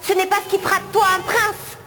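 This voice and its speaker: A woman's voice: very high voice, little pitch movement, fairly quick, music in the background. A woman playing a plucky, good-hearted young hero in French cartoon dub acting, earnest and indignant.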